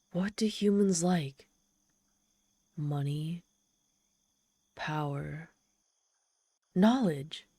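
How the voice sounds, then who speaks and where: Girl doing a proud lonely demon lady for asmr roleplay, lowish mellow voice, haughty but needy, super dry close sound. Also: dry recording. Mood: desperate, pleading. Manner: haughty yet pleading, theatrical sighs and gasps. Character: trapped female demon, proud, lonely, desperate, socially awkward. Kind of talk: dramatic ASMR roleplay storytelling.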